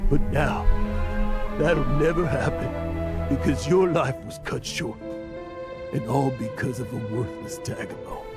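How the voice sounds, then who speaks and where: An actor playing an insecure adventurer in an anime video game, with a halting, dramatic delivery. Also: male voice, medium pitch, close to an everyday voice. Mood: grieving. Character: boastful, insecure, guilt-ridden adventurer man. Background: game music.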